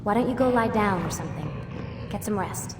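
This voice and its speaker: A woman plays a calm young researcher in a video game. She speaks patiently and without fuss at a medium pitch. The voice is a little distant in an echoey space with music behind. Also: brisk, natural voice. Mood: resigned, patient. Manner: low-key, placating. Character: calm, patient young female lab scientist. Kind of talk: naturalistic video game dialogue.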